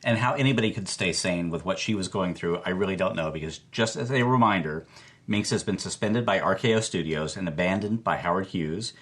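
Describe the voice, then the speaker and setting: A man's voice: sits low, brisk, fairly bright tone. An actor playing a male film professor, giving solemn, emphatic commentary in a documentary-style audio drama. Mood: grave sympathy.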